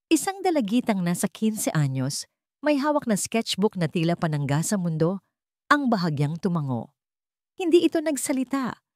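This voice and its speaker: A woman's voice: mid-range voice, darkish tone, dry recording, studio quality. A woman reading a scripted romantic drama story as its narrator.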